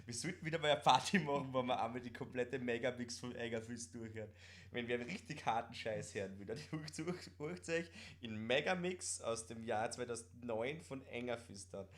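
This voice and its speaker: A man's voice: mid-range voice, brisk, few pauses. A man with an Austrian accent chatting casually as co-host of a live stream, in colloquial dialect and often repeating words.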